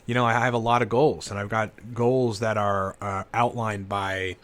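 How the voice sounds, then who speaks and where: A man's voice: lowish voice, fairly even intonation, moderate pace, a bit bright. A man in his late thirties talks casually and unscripted, rambling with many "you know"s and "like"s and swearing freely. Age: 30s. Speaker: channel host, entrepreneur. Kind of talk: casual unscripted monologue to camera.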